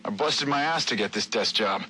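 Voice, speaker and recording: A man's voice: on the low side, moderate pace, pushed and bright, slight background noise, rough recording. A man voicing an easygoing but wary police desk worker in a video game audio log, casual and street-wise. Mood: casual, curious.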